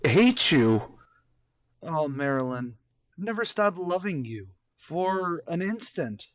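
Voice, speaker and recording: An actor playing a male survey commander and tender long-lost lover in an audio drama, halting and emotional. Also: fairly high pitch, very animated, dry recording. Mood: loving, anguished.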